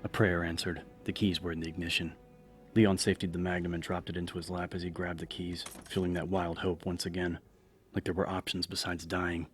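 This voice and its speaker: A man's voice: sits low, flat delivery, a little fast, faint music behind. A man narrating horror fiction in a dramatic, scripted audiobook style.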